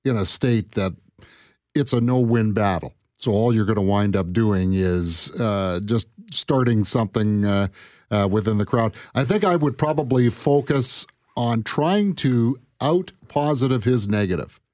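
Booming-voiced man with firm opinions. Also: a little slow, a little mellow, no echo, studio quality. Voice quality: big booming voice. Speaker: co-host. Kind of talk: casual chat.

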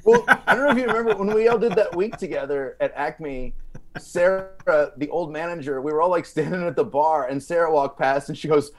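A man's voice: fairly high pitch, fairly quick, soft background music. A man as a podcast guest, telling stories casually with self-deprecating humor. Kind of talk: casual comedic storytelling.

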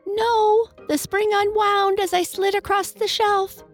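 A woman voicing a tiny, timid female toy mouse from a children's storybook, squeaky and anxious. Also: level tone, slow pace, faint music behind. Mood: nervous, chattering.

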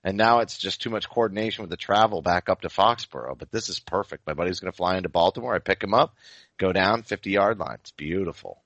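An American man in his forties with a low-pitched voice talks as a sports podcast co-host. He is enthusiastic and chummy, with plenty of casual slang. The recording is close and dry, with no room sound. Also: fast, fairly bright tone, dry recording, dull audio. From Maine, United States.

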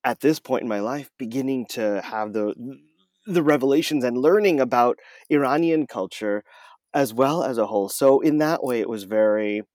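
A man's voice: medium pitch, moderate pace, darkish tone, no room sound, studio quality. A man speaking as an interview guest, an audiobook narrator talking conversationally and enthusiastically, stretching words for emphasis.

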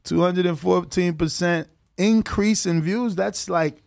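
Excitable male podcast co-host, high-pitched, dry close mic, loose and profane. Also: measured pace, gravelly, dry recording. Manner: excitable, swears freely, laughs often. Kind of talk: casual boxing chat.

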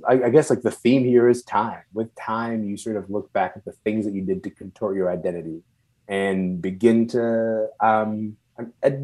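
A man from Sudan who moved to Canada young and is not a native English speaker, speaking reflectively and haltingly as an interview guest, searching for words. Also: lowish voice, a little mellow. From born and raised in Sudan, moved to Canada at twelve. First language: non-English first language.

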